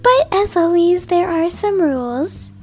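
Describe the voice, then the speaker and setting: A very high, girlish female voice acting as a cheerful schoolteacher in a children's cartoon roleplay. She explains things kindly and slowly to her class. Music plays behind her. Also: sing-song, heavily acted. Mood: cheerful, instructive. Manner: upbeat, instructive, addressing young children. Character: cheerful, kind woman schoolteacher running a class party. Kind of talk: children's animated roleplay video voice-over.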